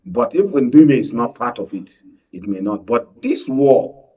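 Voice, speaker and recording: A Nigerian man argues politics on a panel with emphasis, often checking in with rhetorical questions. His voice is husky and mid-pitched, and the recording is dull, as if he sits back from the microphone. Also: sing-song, measured pace, warm tone. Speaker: panel guest, media analyst. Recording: distant mic, dull lo-fi sound.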